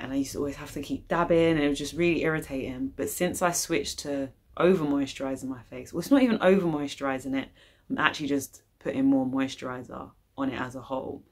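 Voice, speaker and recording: Casting: young British woman with a low-pitched voice, informal and slangy, talking to camera like a casual vlogger. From United Kingdom. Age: young adult. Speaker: YouTube channel host. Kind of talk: casual vlog chat. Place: living room, indoors.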